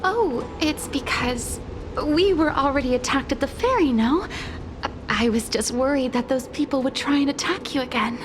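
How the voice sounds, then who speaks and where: A woman's voice: sits high, moderate pace, background noise, close to an everyday voice. A woman voicing a deferential female attendant in a fantasy game, formal and solicitous. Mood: evasive.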